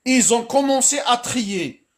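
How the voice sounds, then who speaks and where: A man's voice: very high-pitched, expressive, unhurried. A man arguing insistently in a heated live debate, talking over the others. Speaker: debate participant.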